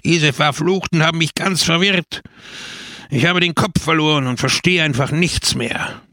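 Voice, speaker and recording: A man voicing a hot-tempered, bullying village mayor and political agitator in a storybook, loud, blustering and declamatory. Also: medium pitch, unhurried, dry recording, clean studio sound. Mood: flustered.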